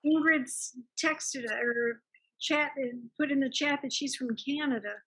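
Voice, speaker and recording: A woman's voice: high voice, steady tone, a little slow, fairly bright tone, poor audio. A woman chatting casually and asking questions as a participant in an online video meeting. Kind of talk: casual group chat. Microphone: video call.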